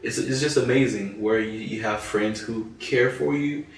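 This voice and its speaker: Deep-voiced man, echoey room. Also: moderate pace. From Cameroon. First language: French. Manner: earnest, thoughtful, with many you-knows and restarts. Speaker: podcast co-host. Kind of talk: casual discussion. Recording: echoey room, far from mic.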